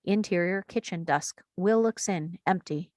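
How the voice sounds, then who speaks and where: A woman narrates screenplay action and scene headings from a script. Her voice is on the low side, and the recording is very dry and close, free of room sound. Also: unhurried, a little mellow, no echo. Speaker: stage directions reader.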